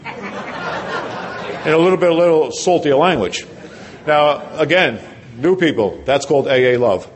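A man's voice: very animated, measured pace, pauses often, some chatter behind. Older New man giving his testimony. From New Jersey. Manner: conversational and self-deprecating, drops his voice low and quiet for emphasis. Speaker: recovery speaker at a large convention. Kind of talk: personal testimony talk. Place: large convention hall.

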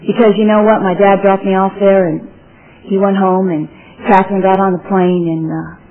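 A woman's voice: mid-range voice, level tone, normal speed, narrow, tinny audio. A woman from California with a Southern twang, telling her life story to an audience in a rambling, folksy way with many repeats and restarts. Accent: Southern American twang. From California, USA. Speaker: speaker sharing her recovery story with an audience. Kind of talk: personal testimony talk.

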